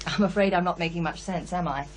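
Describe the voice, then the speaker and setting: An actress playing a candid, sceptical psychiatrist in a radio drama, with a hesitant, thoughtful way of talking. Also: female voice, fairly low pitch, quick pace, slight background noise, close to an everyday voice. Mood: hesitant, confiding. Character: brisk, candid female psychiatrist, sceptical yet unsettled. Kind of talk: naturalistic radio drama dialogue.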